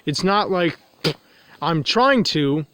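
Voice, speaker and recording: A man's voice: fairly high pitch, animated, slow, fairly bright tone, a little hiss or hum. Congested nasal man rambling outdoors. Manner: repetitive, circling back to restate points. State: congested from a runny nose. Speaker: vlogger. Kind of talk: rambling confessional monologue. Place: outdoors in the cold.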